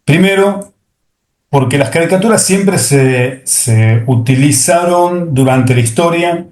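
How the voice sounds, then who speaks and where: A middle-aged man, speaking as an interview guest and pausing often with fillers and restarts. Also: on the high side, expressive, darkish tone, roomy sound. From Argentina. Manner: hesitant, many fillers and restarts. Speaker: guest, software executive. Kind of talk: interview conversation.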